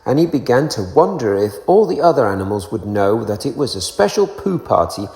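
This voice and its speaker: A man reading a children's storybook aloud in an expressive narrating style. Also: mid-range voice, a little fast. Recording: roomy echo, long reverb, faint music.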